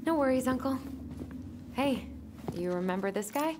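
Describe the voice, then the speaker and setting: A woman's voice: high voice, expressive, normal speed, noisy background. An actress playing a wry, caring but anxious young woman in a naturalistic video game drama. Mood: composed. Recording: music behind the voice.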